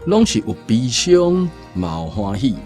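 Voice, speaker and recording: An actor playing a knowing, philosophical fortune teller in a radio drama, with a Taiwanese accent. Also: male voice, medium pitch, sing-song, unhurried, mellow, soft background music. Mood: tipsy, musing.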